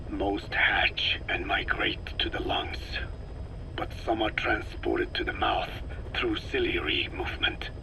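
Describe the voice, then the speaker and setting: A man's voice: lowish voice, rough recording. An actor playing a grave, learned old man, a scientist lecturing formally in a video game cutscene. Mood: grave, explanatory. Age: older. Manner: solemn, deliberate, formal lecturing.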